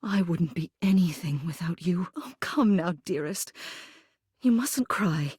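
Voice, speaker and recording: A woman's voice: fairly low pitch, animated, measured pace, darkish tone, slightly rough, no echo, clean studio sound, exaggerated voice. A woman playing a haughty, formal mayor who is secretly tender, in scripted video game dialogue. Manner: prim, formal, officious, clipped commands.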